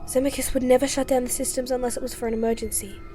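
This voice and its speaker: A woman's voice: sits high, flat delivery, racing, over music, lightly acted. A woman playing an earnest, anxious young researcher in an amateur sci-fi audio drama. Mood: earnest, uneasy.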